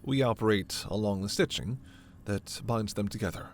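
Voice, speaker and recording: A man playing a formal alien scientist in a sci-fi ASMR roleplay, stern and authoritative yet reassuring, with measured, precise diction. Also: fairly low pitch, natural voice. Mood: measured explaining. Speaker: voice actor in audio roleplay.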